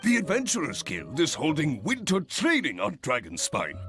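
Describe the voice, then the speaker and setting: An exuberant leader of adventurers in a video game. His high voice soars and dips dramatically with effusive, theatrical enthusiasm, and music plays clearly behind him. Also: huge pitch swings, moderate pace, fairly bright tone. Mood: proud, enthusiastic. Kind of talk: video game character acting.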